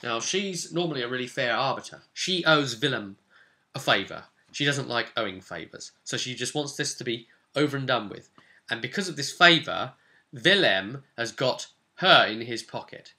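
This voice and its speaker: Bright-voiced man recapping a game. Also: mid-range voice, animated, moderate pace. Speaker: tabletop game master recapping a campaign session. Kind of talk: informal storytelling recap to camera.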